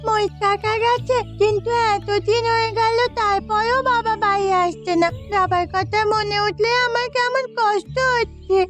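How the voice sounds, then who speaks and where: A woman's voice: very high voice, steady tone, moderate pace, few pauses, over music. A woman voicing a worried, pleading young village daughter in a cartoon. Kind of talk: Bengali moral-tale cartoon dubbing.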